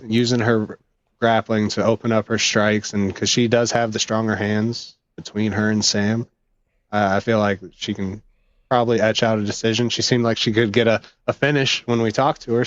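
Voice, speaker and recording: A man's voice: lowish voice, no echo. A man co-hosting a podcast, talking casually through fight analysis and interview questions, on a lo-fi microphone. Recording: dull, lo-fi microphone audio.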